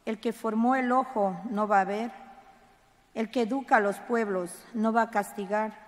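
A woman reads a scripture passage aloud at a lectern microphone in a church, steady and careful. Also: a little high, moderate pace. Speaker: lector. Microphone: lectern gooseneck mic. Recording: long church reverb.

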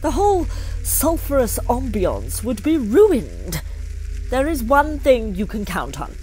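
A pompous ruler of hell, holds forth in a comic radio play. The delivery is unhurried and theatrical, swinging widely in pitch with imperious exasperation. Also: female voice, huge pitch swings, a little mellow, faint music behind, exaggerated voice, rough recording. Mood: imperious, scolding. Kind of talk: comic audio drama acting.

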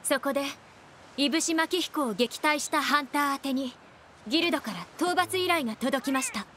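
A woman voicing a composed village attendant in a video game, speaking politely in a measured, formal manner. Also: sits high, fairly bright tone, a little hiss or hum. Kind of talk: dramatic Japanese video game acting.

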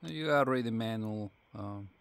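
Low-voiced man in halting casual unboxing. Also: creaky. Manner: halting, short fragmented phrases. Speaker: product reviewer. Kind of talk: casual unboxing commentary, thinking aloud.

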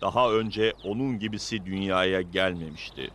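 A man narrating a religious cartoon story in a solemn, measured way. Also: low-pitched, fairly bright tone.

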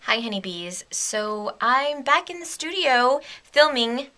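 A woman's voice: high-pitched, lively intonation, slow pace, noisy background. A young woman in her twenties vlogging in a casual, rambling, giggly way, sleepy and a bit delirious, sometimes dropping to a whisper, filmed up close on a handheld camera in a small home studio. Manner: bubbly, rambling, giggly, drops to a whisper at times. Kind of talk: casual vlog chat. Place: home video studio with a big red chair and green screen. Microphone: handheld camera held close to the face.

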